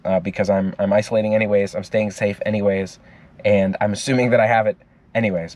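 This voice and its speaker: A man's voice: lowish voice, a little mellow. A man with a stuffy nose, talking in an upbeat, joking way in a casual video diary. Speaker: vlogger. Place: inside a car. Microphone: phone mounted on the steering wheel.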